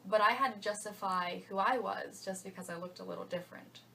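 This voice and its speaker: A woman's voice: mid-range voice, fairly quick, creaky, sharp tone, recorded from a distance, slight background noise, poor audio. A young woman from the United States answers interview questions in a thoughtful, reflective way. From United States (Georgia). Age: young adult. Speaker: interviewee, college freshman.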